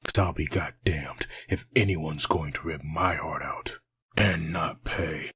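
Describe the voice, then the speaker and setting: Man doing gruff undead antihero, very low voice, slow, terse, dry sound. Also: a bit bright, no echo, full character voice. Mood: defiant. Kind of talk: fan comic dub.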